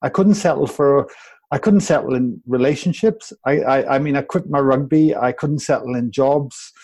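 A man's voice: medium pitch, normal speed, a little mellow. A Welsh man in his forties, a guest on a podcast over a video call, reflective and rambling with many restarts. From Wales. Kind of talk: podcast interview.

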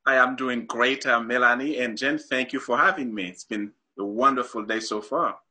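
A man's voice: mid-range voice, normal speed, bright, forceful tone, slightly rough. A middle-aged man from Haiti, a guest author on a talk show, explains his ideas earnestly with many fillers and restarts.

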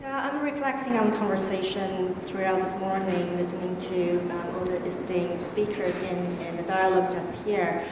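Quiet measured woman in a big echoey hall. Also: on the low side, steady tone. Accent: non-native English accent. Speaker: panel speaker. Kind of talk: panel discussion. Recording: echoey hall, distant mic, background noise.

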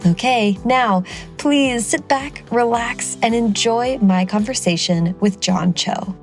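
A woman's voice: a little high, slow, soft background music. A woman hosting a podcast about writers, reading her upbeat intro and then asking interview questions. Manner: upbeat, promotional read. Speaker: podcast host.

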